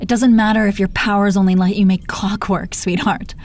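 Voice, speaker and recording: Supervillainess for audio drama, woman performing: smooth-dark tone, gloating and taunting, sweary, short on patience. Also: mid-range voice, fairly even intonation, a little fast, close to an everyday voice. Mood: exasperated lecturing. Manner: taunting, condescending pet names, heavy swearing. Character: gloating menacing female supervillain, sardonic and exasperated. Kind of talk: dramatic roleplay audio monologue.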